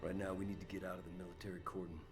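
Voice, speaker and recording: An actor voicing a grim, hunted antihero in a video game, terse and brooding. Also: male voice, low-pitched, level tone, very fast, natural voice. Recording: very loud music over the voice.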